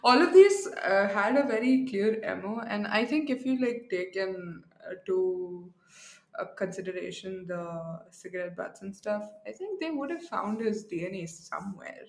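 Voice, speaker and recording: A young woman from India hosts a casual true-crime conversation. She rambles, leans on fillers and cracks jokes between the grim details. Her voice sits in an ordinary middle pitch. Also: expressive, normal speed, vocal fry. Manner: rambling, filler-heavy, joking. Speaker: podcast co-host.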